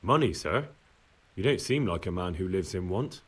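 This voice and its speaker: An actor playing an earnest, respectful young medieval messenger in a video game, speaking politely and directly. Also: male voice, low voice, lively intonation, normal speed, slightly rough, far from the mic. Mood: curious. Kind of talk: video game cutscene acting.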